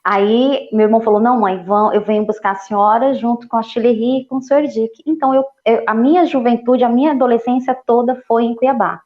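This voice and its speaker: A woman in her forties from Ceará, raised in São Paulo, a nutritionist talking as a guest in a lively, easygoing way. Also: fairly high pitch, fairly quick, few pauses, muffled recording. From Ceará, Brazil, raised in São Paulo. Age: 40s. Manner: lively, laughs easily, repeats phrases. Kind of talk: casual interview.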